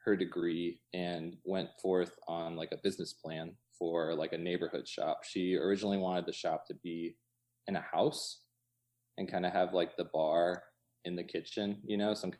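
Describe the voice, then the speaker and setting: Deep-voiced man chatting casually. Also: steady tone, darkish tone. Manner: informal, halting, many hedging asides, chuckles through speech. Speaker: interview guest, coffee shop co-owner. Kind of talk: casual chat. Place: inside his cafe.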